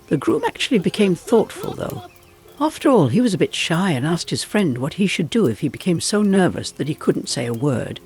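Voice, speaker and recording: A man with a slightly high voice narrates a folk tale. His reading is dramatic and expressive, swinging in pitch, with music underneath. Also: a little fast. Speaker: storyteller narrator.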